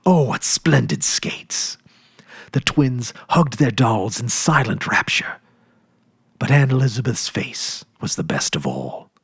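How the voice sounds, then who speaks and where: Husky man reading a classic story. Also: mid-range voice, big pitch swings, a little slow, no echo, studio quality.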